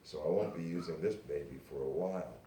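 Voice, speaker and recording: A man voicing a brash, excitable woman publisher with an emphatic, insistent manner in a literary reading. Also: extremely low pitch, fairly quick. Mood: brash, reassuring. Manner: emphatic, breathless insistence, calls people dearie. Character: brash, excitable woman small-press publisher, proud and gushing. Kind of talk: dialogue in a live literary reading. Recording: roomy, distant live recording with noise.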